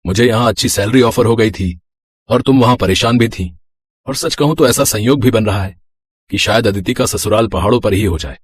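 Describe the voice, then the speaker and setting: A man acts a practical middle-aged husband and father in a moral story cartoon. He is measured and reassuring, with a husky voice in a dry recording. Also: fairly low pitch, no echo. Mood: reassuring, explaining. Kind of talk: animated Hindi moral story dialogue.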